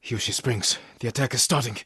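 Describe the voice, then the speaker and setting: An actor playing a grave, resolute samurai warrior in a video game, speaking in measured, solemn, terse lines with a husky voice. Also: male voice, mid-range voice, pushed and bright, dry recording, clean studio sound. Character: stoic, honour-bound samurai warrior, adult man, grave and resolute. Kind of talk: dramatic scripted game dialogue.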